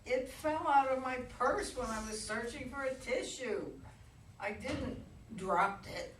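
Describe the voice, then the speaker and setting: A woman's voice: sits high, a little slow. A woman in a staged play reading, acting an exasperated, defensive woman who argues curtly with a pest. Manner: indignant, curt, argumentative. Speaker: amateur play reader. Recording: distant roomy recording with faint noise.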